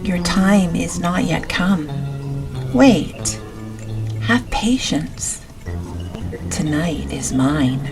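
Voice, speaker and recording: A woman voicing a sinister, possessive male vampire count with drawn-out commands in a gothic audiobook. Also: on the low side, lively intonation, exaggerated voice. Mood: commanding, possessive. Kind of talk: gothic audiobook character reading. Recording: music behind the reading.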